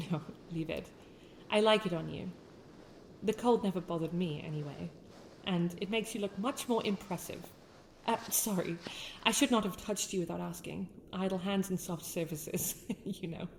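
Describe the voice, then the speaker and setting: A woman's voice: on the low side, moderate pace, vocal fry, slight background noise, rough recording. A woman with a British accent playing a haughty young winter princess in a scripted ASMR roleplay, speaking in formal, courtly phrases. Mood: fond, soft. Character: haughty sharp-tongued young winter princess with a softer, regretful side.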